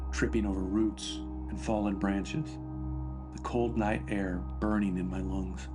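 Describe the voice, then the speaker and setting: A man reading a scripted first-person horror story as its narrator. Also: on the low side. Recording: narration over background music.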